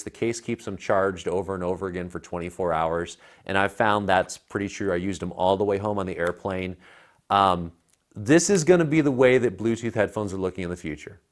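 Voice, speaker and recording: A man in his thirties giving a casual, emphatic and opinionated product review as a co-host. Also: deep voice. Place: living room by a fireplace, indoors. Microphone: lapel mic.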